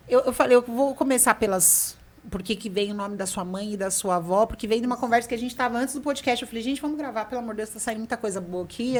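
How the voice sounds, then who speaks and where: A woman hosting a podcast in relaxed, casual chat. She talks in a bubbly, chatty way and laughs easily. Her voice sits a little high. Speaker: podcast host.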